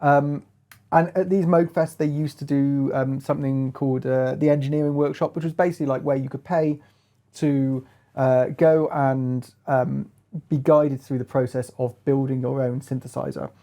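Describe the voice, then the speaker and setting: A man's voice: medium pitch, steady tone, normal speed, mellow. A man presenting a hands-on music gear review in a casual chat, feeling his way through phrases with lots of hedging.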